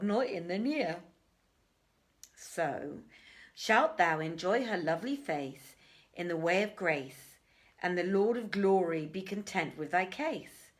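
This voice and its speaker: A woman voicing a sagacious male royal counsellor in a storybook, formal, deferential and ornate in speech. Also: medium pitch.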